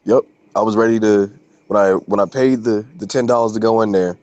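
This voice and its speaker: Low-voiced, laid-back man. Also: fairly even intonation, brisk, darkish tone, no room sound, muffled recording. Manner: laid-back, swearing, amused storytelling. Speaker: podcast co-host. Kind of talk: casual chat.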